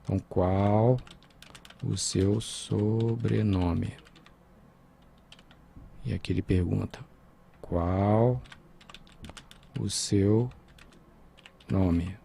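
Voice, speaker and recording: A man's voice: lowish voice, steady tone, very slow, vocal fry, long pauses, warm tone, slight background noise. A man with a Brazilian Portuguese accent teaching a programming lesson over a screen recording, talking informally and checking in with his students.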